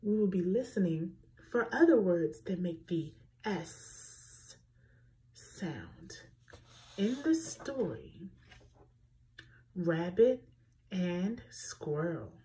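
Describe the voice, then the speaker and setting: Slow, clear woman reading a picture book. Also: lowish voice, low-quality recording. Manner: slow, clear and expressive, with sounds spelled out. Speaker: children's story reader. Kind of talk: reading a picture book aloud to young children.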